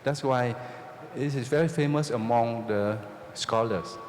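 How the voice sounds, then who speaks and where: A Jesuit priest from Vietnam with a Vietnamese accent lectures a live audience, using simple, repeated phrases and often checking in with them. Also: male voice, medium pitch, measured pace, a little mellow. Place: oratory hall with a live audience. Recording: roomy hall reverb, faint noise.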